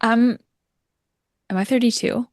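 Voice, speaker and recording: A woman's voice: on the high side, steady tone, darkish tone, no echo, clean studio sound. A woman in her thirties from Minnesota, hosting a podcast and chatting in a friendly, agreeable way. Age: 30s. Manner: friendly, agreeable, quick backchannels. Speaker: podcast host. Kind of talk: casual interview chat.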